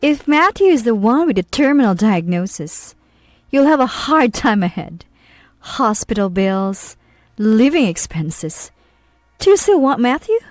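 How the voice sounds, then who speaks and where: Woman acting a firm wronged wife, raised pitch. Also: big pitch swings, a little slow, a little mellow, dry recording. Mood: confronting. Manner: pointed, confronting. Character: disdainful, long-suffering wife, firm and blunt.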